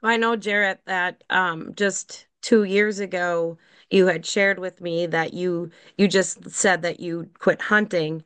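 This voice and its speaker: Smooth low-pitched woman interviewer. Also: steady tone, slow, a bit bright. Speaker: interviewer host. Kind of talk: casual interview questions.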